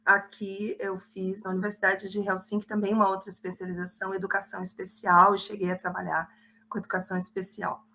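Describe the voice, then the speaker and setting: A woman's voice: medium pitch, monotone, fast talker, pushed and bright, rough recording. A woman educator with a Rio Grande do Sul accent, talking steadily and informatively as a panel guest. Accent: Rio Grande do Sul Portuguese. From southern Brazil. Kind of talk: casual panel conversation.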